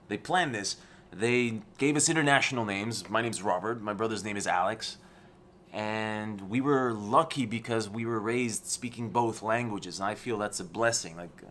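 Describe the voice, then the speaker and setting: A man with an American-schooled English accent hosting his channel, talking casually and conversationally from his office. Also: mid-range voice. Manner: relaxed, conversational, with restarts. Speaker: channel host, entrepreneur. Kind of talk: casual talk to camera.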